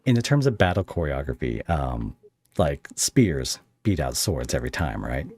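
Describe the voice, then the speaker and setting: Very deep-voiced man, lively delivery. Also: moderate pace, slightly rough, no room sound. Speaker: podcast host interviewing a guest. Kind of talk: casual interview chat.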